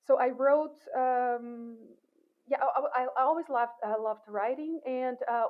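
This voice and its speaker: Animated Brazilian-born woman, tinny line. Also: high voice, measured pace. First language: Portuguese. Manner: animated, laughs often, many restarts. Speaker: guest, assistant professor. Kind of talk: casual interview chat. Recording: tinny phone-like sound.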